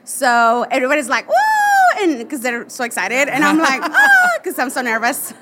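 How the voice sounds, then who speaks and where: A woman's voice: sits high, wildly swooping pitch, slow pace, heavy background noise. A woman with a Spanish accent, a native Spanish speaker, talking earnestly as a guest in a casual interview. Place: busy convention floor.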